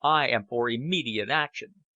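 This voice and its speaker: A man's voice: medium pitch, normal speed, pushed and bright, no echo, highs cut off. A man voicing an angry, defensive committee secretary in an audiobook, hot-tempered and insistent. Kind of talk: audiobook character acting.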